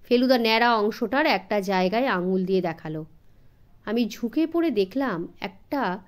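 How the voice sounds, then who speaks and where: A woman's voice: medium pitch, lively intonation, moderate pace. A woman reading a scripted first-person story for children as an audiobook narrator.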